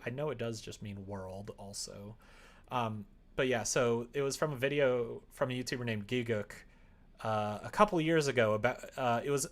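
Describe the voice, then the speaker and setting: A man with an average-pitched voice talks casually on a livestream as he works. He thinks out loud, rambling and often hesitating as he finds his way through a thought. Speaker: livestreamer making a visual novel. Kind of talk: casual livestream chat while working. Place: indoors at home.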